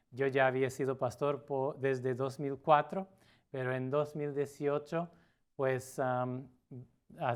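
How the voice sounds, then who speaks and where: Middle-aged male pastor, Portuguese accent, mid-pitched smooth voice, earnest preaching delivery. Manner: earnest, with pauses and filler sounds. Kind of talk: sermon.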